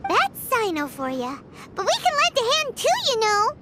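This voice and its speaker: A woman voicing a tiny childlike companion sprite in a video game, squeaky, blunt and excitable. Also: normal speed, a little hiss or hum, exaggerated voice. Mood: eager.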